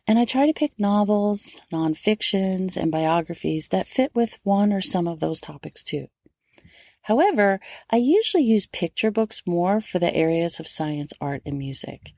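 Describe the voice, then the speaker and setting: A woman's voice: medium pitch, expressive, normal speed, mellow, dry recording, clean studio sound. A middle-aged woman hosting a podcast solo, giving instructive advice and speaking directly to her listeners. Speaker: homeschool podcast host.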